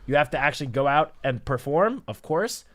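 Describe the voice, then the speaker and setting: A man's voice: fairly high pitch, lively intonation, fairly quick, fairly bright tone. A man co-hosting a sports radio show, laying out statistics and building a detailed argument. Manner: analytical, stat-driven, builds an argument. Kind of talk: sports analysis talk.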